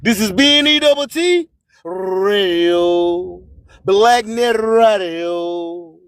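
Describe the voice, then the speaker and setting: A man speaks in a strikingly high-pitched voice, taking his time over each phrase. He preaches like a motivational radio host, emphatic and fond of repeating his lines. The sound is dry and close, with no room around it. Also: lively intonation, very slow, fairly bright tone, clear, resonant tone, dry recording. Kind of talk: motivational spoken-word sermon.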